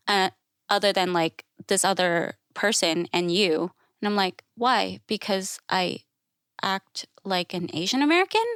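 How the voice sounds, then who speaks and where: A woman's voice: mid-range voice, a bit bright, smooth, dry recording, clean studio sound. A woman from Thailand speaking candidly and informally as a podcast guest, telling stories and often voicing quoted remarks. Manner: informal and outspoken, with frequent "like" and acted-out quotes. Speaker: podcast guest, classical musician and educator. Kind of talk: candid conversational storytelling.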